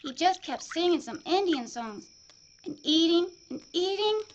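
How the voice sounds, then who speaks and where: An actress playing a young frontier woman blinded by a killer, telling her story in a halting, haunted way. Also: very high voice, lively intonation, slight background noise. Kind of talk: audio drama acting. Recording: dull lo-fi audio.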